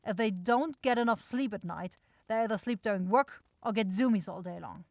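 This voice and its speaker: A woman's voice: mid-range voice, normal speed, no echo, lightly acted. Casting: woman voicing a demon woman supervisor, brusque, exasperated, sarcastic; fantasy roleplay, tight dry recording. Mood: brisk, instructing. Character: bossy, grumbling demon woman shopkeeper, sweary supervisor. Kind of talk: fantasy audio roleplay acting.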